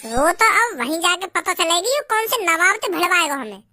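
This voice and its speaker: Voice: very high voice, sing-song, brisk, bright, forceful tone, heavily acted. A performer voicing a cheeky, combative little village kid in a comic cartoon, arguing mockingly in a rural Braj accent. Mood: defiant. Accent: rural Braj, north India. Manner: mocking, argumentative. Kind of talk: comic Hindi cartoon dialogue.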